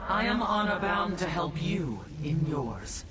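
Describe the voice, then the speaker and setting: A man's voice: sits high, very animated, a little slow. A man voicing a proud, honor-bound alien warrior commander in a video game, with formal, martial phrasing. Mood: proud, loyal. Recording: distant, very noisy game battle audio.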